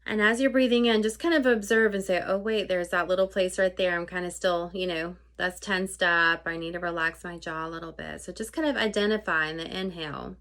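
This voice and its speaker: A woman leading a guided meditation in an informal, conversational way, unscripted, with halting phrasing that trails off softly. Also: on the low side, steady tone.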